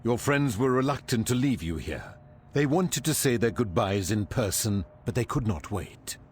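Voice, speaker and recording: An actor playing a calm, gentle male healer in a game cutscene, speaking slowly in a soothing, measured way. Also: mid-range voice, slight background noise, lightly acted. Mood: gentle, solemn. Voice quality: slow, soothing, measured delivery.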